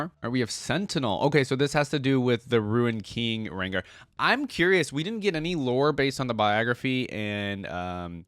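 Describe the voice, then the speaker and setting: A man's voice: medium pitch, dry recording. A man hosting a reaction video, talking casually and off the cuff in informal, slangy language as he thinks aloud.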